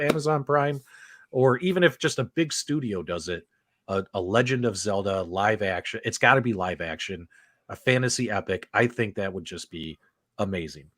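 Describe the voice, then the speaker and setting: A man's voice: on the low side, a bit bright, no room sound. A man hosting a casual livestream talk show about games, speaking enthusiastically and repeating phrases for emphasis. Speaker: host of a video game talk show.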